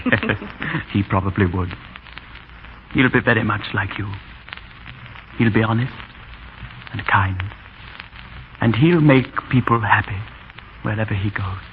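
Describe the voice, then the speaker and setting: Formal English schoolmaster on noisy old radio. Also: male voice, on the low side, measured pace, pauses often. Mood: tender. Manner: hesitant, formal, dryly humorous. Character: shy, formal English schoolmaster husband, dry and kindly. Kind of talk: 1930s radio drama acting. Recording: dull, noisy 1930s radio recording.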